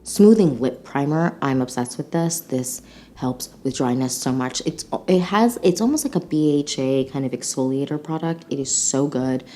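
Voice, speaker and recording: A woman's voice: a bass voice, animated, moderate pace, mellow. A slightly congested woman with a nasal voice, chatting casually and enthusiastically as a beauty vlog host reviewing products. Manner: gushing, enthusiastic.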